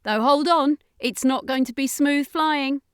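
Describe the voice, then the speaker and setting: A woman's voice: high voice, a little slow, no room sound, studio quality, pitched above the performer's own voice. A woman voicing a brash, boastful alien sorceress in an audiobook adventure, brusque and sardonic. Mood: excited warning. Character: brash, snappy female alien sorceress, flying and bragging.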